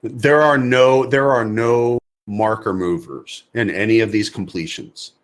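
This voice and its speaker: A man's voice: on the low side, fairly even intonation, unhurried. A man talking as a podcast guest, blunt and emphatic, repeating words for effect. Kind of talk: casual podcast chat.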